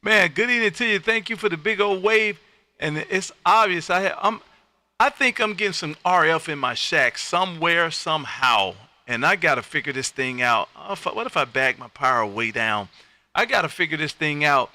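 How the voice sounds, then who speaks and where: A man's voice: fairly high pitch, animated, moderate pace, pushed and bright. A man from Texas hosting an amateur radio livestream, chatting and calling out in a folksy, effusive way and repeating his phrases. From United States, Texas. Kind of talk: casual ham radio chat and call-outs.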